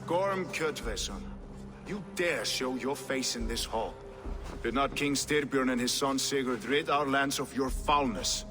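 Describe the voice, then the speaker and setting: An actor playing a commanding, measured Norse king in a video game, speaking formally and deliberately. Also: medium pitch, close to an everyday voice. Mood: stern. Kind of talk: dramatic scripted game acting. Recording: echoey hall with music underneath.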